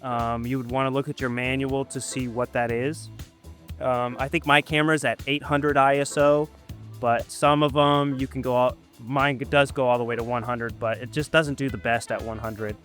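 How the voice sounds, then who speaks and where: Man, medium pitch, casual tutor, hesitant with fillers, outdoors at dusk, faint music. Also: level tone, normal speed, fairly bright tone. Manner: patient, hesitant, many ums and uhs. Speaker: photographer teaching a guest. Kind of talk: casual how-to explanation.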